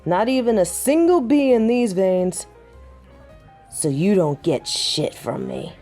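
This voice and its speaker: A woman's voice: mid-range voice, animated, takes it slowly, dark tone, faint music behind. A woman playing a proud, prickly, tipsy werewolf second-in-command in an audio roleplay drama, with a dry, sarcastic drawl. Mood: grudging, sardonic.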